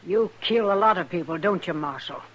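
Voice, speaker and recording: A woman's voice: fairly low pitch, expressive, fairly quick, a bit bright, muffled recording. An American actress playing a bitter, vengeful frontier woman in an old radio western, curt and taunting. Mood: cold, hostile. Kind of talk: old-time radio drama acting.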